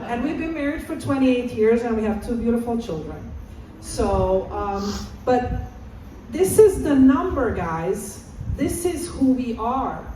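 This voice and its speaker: A woman's voice: fairly high pitch, lively intonation, measured pace, dark tone, recorded from a distance, a little hiss or hum. Woman in an echoey hall. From Romania. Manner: encouraging, asks audience to call out answers. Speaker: assistant professor and presenter. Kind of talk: interactive conference talk. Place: large conference hall.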